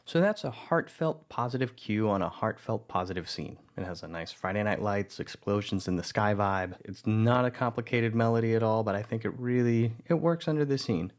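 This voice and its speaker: Low-voiced man explaining music editing. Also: animated, fairly quick, hardly any pauses. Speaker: audio drama producer teaching music editing. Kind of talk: tutorial voice-over.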